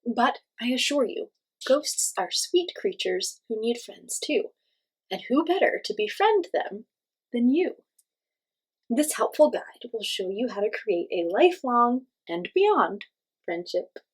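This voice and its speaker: A woman's voice: on the high side, sing-song, a little slow, breathy edge, rough recording. A woman hosting a children's read-aloud, reading a picture book expressively and speaking directly to young listeners with simple questions and explanations.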